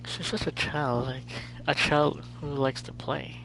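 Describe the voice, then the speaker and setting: A woman's voice: low voice, level tone, a little slow, creaky, fairly bright tone, a little hiss or hum, low-quality recording. A woman streaming a game, reacting casually in muttered fragments and repeating herself. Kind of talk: casual reactions while playing.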